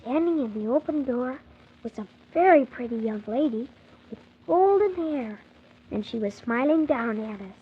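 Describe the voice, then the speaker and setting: A performer playing Tim, a polite young boy telling his story with earnest, animated wonder on 1940s children's radio. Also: medium pitch, normal speed, husky. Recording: muffled, hissy 1940s radio transcription.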